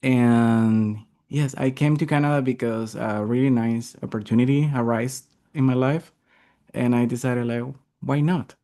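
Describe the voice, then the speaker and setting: A man from Venezuela, a software engineer guest, answering interview questions thoughtfully with some hesitant restarts over a video call. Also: medium pitch, steady tone, unhurried.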